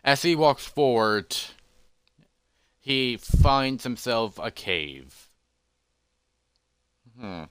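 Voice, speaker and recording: A man telling a fantasy story as its narrator, in halting, deliberate phrases with dramatic pauses. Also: medium pitch, lively intonation, a bit bright, clear, resonant tone, no room sound.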